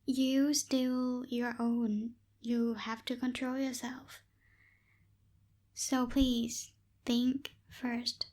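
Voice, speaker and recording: A young woman voicing a bossy but secretly caring tsundere witch in a close-miked ASMR roleplay, softly scolding and a little hesitant. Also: a little high, level tone, extremely slow. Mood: firm, instructive. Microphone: close binaural microphone.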